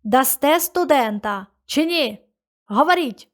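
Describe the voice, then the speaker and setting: A woman voicing a gruff, commanding male guard on horseback who barks orders. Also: high voice, animated, slow, voice pushed up. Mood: harsh. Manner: barking orders.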